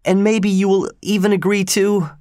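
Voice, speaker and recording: Audiobook role: sickly, fearful youth, pitched high and quavering, pleading and faltering, played by a male narrator. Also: flat delivery, moderate pace, warm tone, dry recording, studio quality, lightly acted. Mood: terrified pleading. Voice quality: shaky. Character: sickly, terrified, pleading young man. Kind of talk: audiobook character voice.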